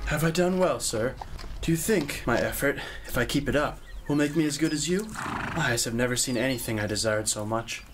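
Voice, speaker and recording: A man's voice: mid-range voice, fairly quick, music in the background, lightly acted. An actor playing an eager, naive young male knight in an audio drama, polite and earnest in his questions. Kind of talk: audio drama acting of a medieval legend.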